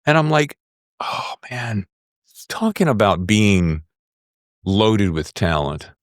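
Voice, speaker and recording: Bassy American man, animated podcast host. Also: slow. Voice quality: bassy, deep voice. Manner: effusive, emphatic, jokey. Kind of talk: enthusiastic podcast intro and casual interview chat.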